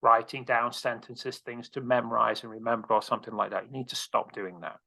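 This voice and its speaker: Male speaking coach, bright voice, blunt and casual, a mid-range pitch. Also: fairly even intonation, brisk, gravelly, low-quality recording. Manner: direct, casual, swears freely. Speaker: presentation coach. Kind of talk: interview answers, advice.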